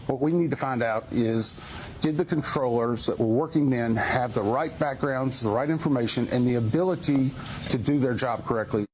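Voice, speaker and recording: A man speaking as an investigation official at a press briefing, measured and guarded. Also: mid-range voice, a little mellow, slight background noise, low-quality recording.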